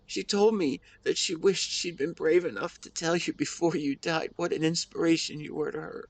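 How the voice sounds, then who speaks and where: A man playing a grieving, devoted husband who speaks to his late wife in a tender, emotional, halting way, as an intimate audio roleplay monologue. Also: a little high, steady tone. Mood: tender, bittersweet.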